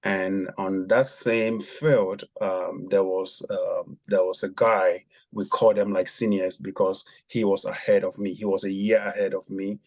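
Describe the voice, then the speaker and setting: A man with a somewhat low voice tells his story as a podcast guest. He speaks haltingly, filling pauses with frequent ums. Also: little pitch movement. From Ghana. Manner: hesitant, many ums and pauses. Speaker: guest, former javelin athlete.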